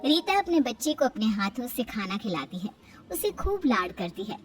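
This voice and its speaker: A woman's voice: on the high side, sing-song, normal speed, far from the mic, faint music behind. A woman reading scripted narration for a moral story, as its storyteller.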